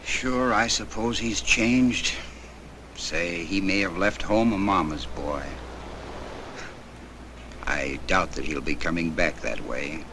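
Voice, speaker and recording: Deep, slow, calm 1940s American commentator. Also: male voice. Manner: calm, plain-spoken, measured. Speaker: war correspondent. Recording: old 1940s film soundtrack, faint noise.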